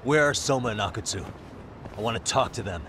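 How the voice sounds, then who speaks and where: An actor playing a cool, hard-nosed private detective in a video game dub, measured and matter-of-fact. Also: male voice, medium pitch, expressive, fairly bright tone, a little hiss or hum, natural voice. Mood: stern, threatening.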